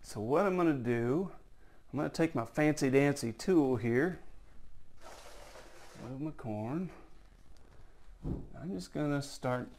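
A man's voice: on the high side, expressive, slow pace, pauses often, a little mellow, a little hiss or hum. A man giving a casual, folksy how-to demonstration in his workshop, joking at his own expense as he works. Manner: folksy, self-joking, talks while working. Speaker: model diorama builder. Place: workshop, indoors.

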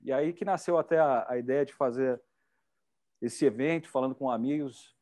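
A man's voice: medium pitch, moderate pace, warm tone. A middle-aged man with a Brazilian Portuguese accent, hosting an event in a chatty, enthusiastic way on a lapel mic. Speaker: event host. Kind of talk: casual hosting chat.

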